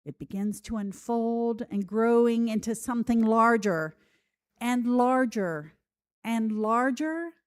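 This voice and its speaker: A woman storyteller at a church service reads an all-ages story gently, with deliberate dramatic pauses. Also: fairly high pitch. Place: church service, speaking to a congregation.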